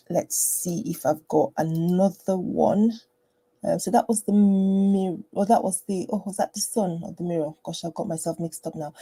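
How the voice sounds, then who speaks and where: A woman doctor hosting a health live stream from home, chatting in a meandering way with lots of fillers and restarts, her voice kept low and soft. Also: breathy edge. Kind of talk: casual live stream talk. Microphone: personal mic.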